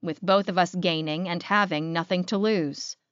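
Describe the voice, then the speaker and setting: A woman voicing a businesslike male werewolf alpha in an audiobook, measured and matter-of-fact. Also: fairly low pitch, steady tone, clear, resonant tone, dry recording, lo-fi sound, natural voice.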